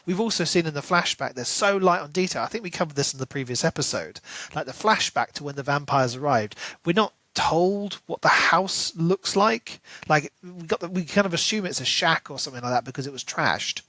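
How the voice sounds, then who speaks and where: A man's voice: a little high, animated, brisk, hardly any pauses, sharp tone, no echo. A man co-hosting a casual book discussion, talking in an analytical, questioning, conversational way. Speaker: podcast co-host.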